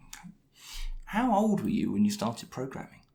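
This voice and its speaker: A man hosting a podcast, asking a guest a list of questions in a brisk, lightly teasing way. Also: medium pitch, sing-song, gravelly, warm tone. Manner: brisk, scripted intro then questions. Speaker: podcast host. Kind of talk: podcast interview.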